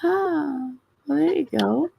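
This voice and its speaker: A woman's voice: high-pitched, animated, slow pace, vocal fry, dark tone, dry recording, rough recording. A woman co-hosting a casual crafting live stream, tossing out dry, teasing jokes. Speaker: co-host.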